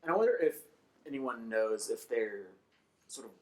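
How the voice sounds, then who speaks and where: A man with an average-pitched voice adds an unscripted comment to a discussion. He sounds tentative, hedging as he works toward his point. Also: big pitch swings, normal speed, a little mellow.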